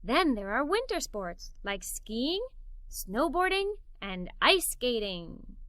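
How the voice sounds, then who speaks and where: Bright, high-pitched woman reading a children's story. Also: no echo, clean studio sound. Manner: expressive, performs the characters' dialogue. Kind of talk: animated read-aloud storytelling for children.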